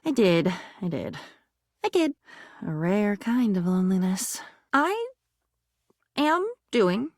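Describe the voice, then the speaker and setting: A woman's voice: on the high side, no room sound, studio quality, exaggerated voice. A woman voicing a melancholy living diary in a video game, needy and poetic, speaking in wistful, emotional fragments. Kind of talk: dramatic video game voice acting.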